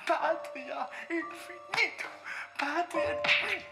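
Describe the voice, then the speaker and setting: High, halting, submissive weakling. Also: male voice, vocal fry, music in the background, heavily acted. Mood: frantic chanting. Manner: halting, stammering, pleading. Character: weak, submissive, pleading male patient in thrall. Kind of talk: German radio crime drama acting.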